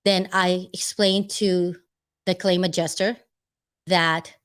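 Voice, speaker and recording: A woman's voice: lowish voice, level tone, slow pace, smooth. A woman with a Filipino accent talking casually to her viewers, rambling and stopping often to search for the right words. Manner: chatty, laughs easily. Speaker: vlogger giving advice. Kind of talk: casual talk to camera.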